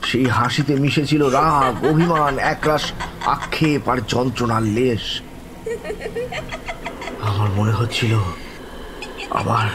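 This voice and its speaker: A man playing a terrified, distraught survivor who tells his ghost story in a halting, dramatic way. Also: mid-range voice, heavy background noise, natural voice. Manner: halting, dramatic, repeating words, breaking into desperate cries. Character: terrified, distraught man recounting a haunting he lived through. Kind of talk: dramatized first-person horror narration.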